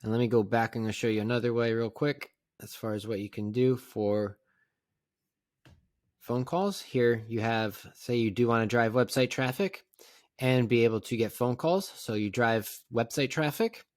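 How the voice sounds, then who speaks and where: A man narrating a casual step-by-step software tutorial in a plain, conversational, instructional way. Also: medium pitch, moderate pace, dry recording, studio quality. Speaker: tutorial presenter.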